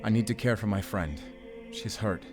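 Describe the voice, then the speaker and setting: Man voicing a grave, low-pitched German werewolf hunter. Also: moderate pace, close to an everyday voice. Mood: wary calling. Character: gruff werewolf hunter, guarded but protective. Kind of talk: cinematic audio roleplay acting. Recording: music behind the voice.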